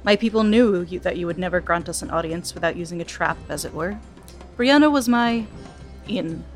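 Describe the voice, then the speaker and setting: A woman voicing a sly, scheming young man who turns out to be a villain, speaking in a smooth, taunting way. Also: lowish voice, moderate pace, soft background music, close to an everyday voice.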